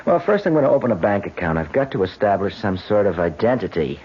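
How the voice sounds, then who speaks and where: A man playing a cocky, excitable out-of-work actor with theatrical, persuasive delivery in a radio drama. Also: fairly low pitch, quick pace, a little hiss or hum. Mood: eager, scheming. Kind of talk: 1970s radio drama acting. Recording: dull old radio broadcast.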